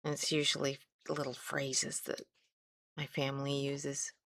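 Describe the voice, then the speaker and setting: A middle-aged woman with a light Midwestern accent talks her way through a crafting tutorial, her voice low and her pace unhurried. She rambles, trails off and picks her thread back up as she works with her hands. The sound is close and dry, with no room behind her. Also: completely flat delivery, gravelly, fairly bright tone, no echo. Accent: Midwestern American. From American Midwest. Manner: chatty, rambling, trails off mid-sentence. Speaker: craft instructor.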